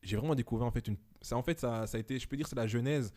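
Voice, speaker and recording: A man in his twenties, a podcast guest talking casually and reflectively at home, pausing often with fillers. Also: medium pitch, mellow. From Belgium. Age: 20s. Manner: reflective, many hesitations.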